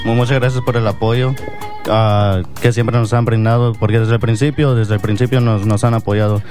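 A man's voice: lowish voice, moderate pace, over music. A man in his twenties, a band member, answering casually with some hesitant restarts. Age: 20s. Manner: relaxed, a bit hesitant with restarts.

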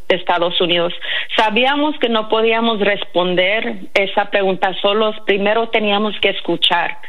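Earnest woman on a dull phone line. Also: medium pitch. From United States. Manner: earnest, mixes English words into Spanish. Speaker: civil rights organizer, guest. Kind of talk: phone interview.

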